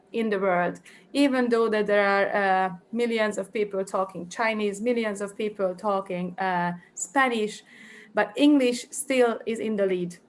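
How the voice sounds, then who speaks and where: Hesitant Hungarian woman presenting a talk. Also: medium pitch. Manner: hesitant, many fillers and restarts while thinking aloud. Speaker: conference presenter. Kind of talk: academic conference presentation.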